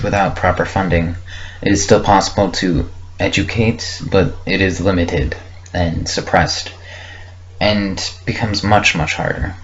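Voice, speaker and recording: A young man speaks in a low-pitched voice, as if keeping a personal audio log. He thinks aloud in halting, reflective fragments, casual and unscripted. The recording is dull and lo-fi, with faint noise behind him. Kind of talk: casual unscripted rambling monologue. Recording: muffled lo-fi with faint background noise.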